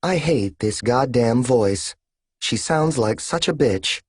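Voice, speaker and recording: A man reading an audiobook, voicing a frail older mother whose words come through a voice modulator, calm, even and pleasant. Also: medium pitch, takes it slowly, breathy edge, dry recording, studio quality, pitched above the performer's own voice.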